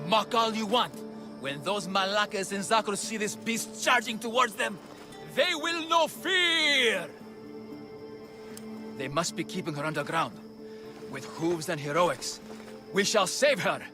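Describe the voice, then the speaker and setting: Music plays behind a high-pitched middle-aged father in a video game. He is anxious and devoted, a bumbling would-be hero whose earnest, theatrical speech swings widely in pitch. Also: lively intonation, measured pace, long pauses. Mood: boastful, brave. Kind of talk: video game cutscene acting.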